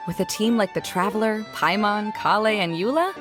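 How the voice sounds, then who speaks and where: A woman's voice: mid-range voice, moderate pace, natural voice. Brief: actress as a blunt, dry-witted young woman, game score behind. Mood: sardonic. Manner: dry, cutting. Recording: game cutscene with music behind.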